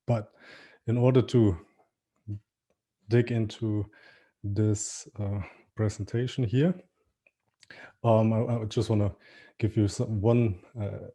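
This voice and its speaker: A man with a German accent giving a marketing webinar, talking in a halting way with many fillers and restarts and returning again and again to the same stock phrase. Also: fairly low pitch, steady tone, mellow. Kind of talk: webinar presentation.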